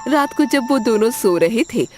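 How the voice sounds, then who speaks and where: A woman narrating a cartoon story in a clear storytelling manner, over background music. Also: on the high side, animated, normal speed.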